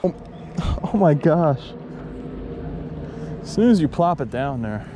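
Excitable fishing vlogger man outdoors. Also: slow pace, dull audio. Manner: excitable, gets loud and high when fish bite. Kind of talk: casual outdoor commentary. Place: outdoors by a lake below a dam. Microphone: head-mounted action camera. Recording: faint outdoor noise.

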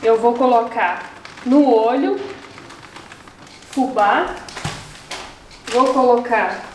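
A young woman talks to her viewers while she cooks, high-pitched and chatty, sounding fed up with the recipe. She is recorded in a home kitchen on the camera's mic, with a noticeable echo and faint clatter from the cooking. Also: extremely slow, darkish tone, a little hiss or hum. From Brazil. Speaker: channel host. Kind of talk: casual cooking vlog chat.

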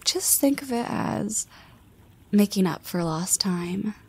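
A woman's voice: medium pitch, expressive, slow pace, dark tone, slightly rough, exaggerated voice. A woman voicing a confident young woman who teases her friend, with playful half-whispered asides. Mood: teasing. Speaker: voice actress. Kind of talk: scripted audio roleplay.

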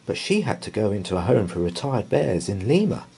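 A man's voice: on the low side, sing-song, a little fast, vocal fry, mellow. Man voicing an earnest, lilting young storybook bear. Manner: earnest, polite.

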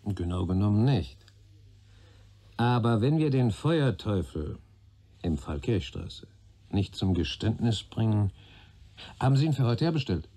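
A wry older police chief in a radio crime play. His husky, mellow voice swings in lively melody as he rambles, jokes and grumbles to a junior colleague. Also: lowish voice, measured pace, pauses often, heavily acted. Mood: musing, persuasive. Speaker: actor in a radio crime drama. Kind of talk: German radio play dialogue.

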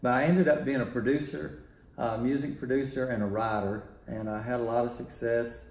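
A man's voice: medium pitch, normal speed. Rambling man in a roomy studio. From Texas. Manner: relaxed, rambling with many fillers. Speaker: music producer. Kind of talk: casual interview talk. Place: home music studio, indoors.

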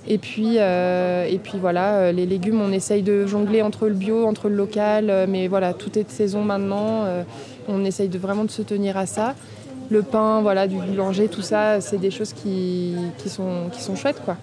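A woman's voice: mid-range voice, level tone, normal speed, warm tone. A young woman, co-manager of a restaurant, talking in a casual radio interview on an outdoor terrace, with many fillers and restarts. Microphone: table mic on stand.

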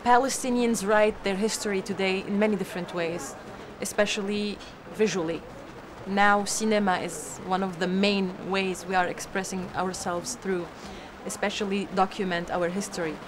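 A young woman from Lebanon, an actress, giving earnest, deliberate interview answers. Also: medium pitch, fairly even intonation, background noise.

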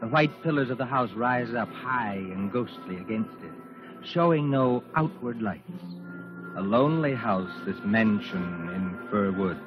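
A man narrating a 1940s radio suspense show in a solemn, measured, theatrical way. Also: lowish voice, lively intonation. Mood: ominous, measured. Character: ominous male narrator of a suspense tale. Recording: dull old 1940s radio broadcast. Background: loud music.

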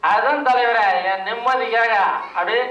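A man's voice: extremely high pitch, steady tone, normal speed, pushed and bright, muffled recording. A man voicing a drunk, quarrelsome village husband in a live puppet show, blustering and defensive. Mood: self-pitying. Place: outdoor stage performance. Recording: roomy, distant, crowd behind.

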